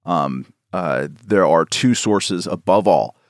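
A man co-hosting a podcast, talking casually in a halting way with many fillers. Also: deep voice, animated, dry recording, clean studio sound. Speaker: podcast co-host. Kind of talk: casual chat.

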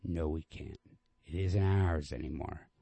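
Man reading as a weary young woman, low and subdued. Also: measured pace, vocal fry, dark tone, no room sound, full character voice. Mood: resigned. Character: weary young woman, resigned, quietly bitter and brittle.

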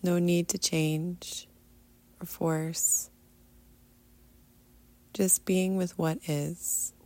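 Female meditation guide, very even and low, soothing and unhurried, drifting toward a whisper. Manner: soothing, unhurried, often trailing into breathy near-whisper. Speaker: guided meditation teacher, podcast host. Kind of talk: guided meditation, soft instruction.

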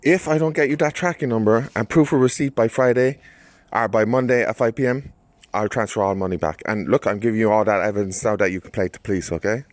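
A man's voice: on the low side, fast talker. A man leaving insistent, defensive voice messages to a buyer, calling him "mate" and pressing him to act. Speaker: seller in voice messages. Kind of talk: recorded voice messages. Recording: noisy phone voice message.

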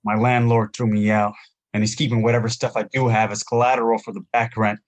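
An actor playing a young, homeless chorus actor from Arizona, earnest and pleading, in an audio drama. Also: male voice, fairly low pitch, level tone, a little fast, natural voice. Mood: dejected. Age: young adult. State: shivering from cold.

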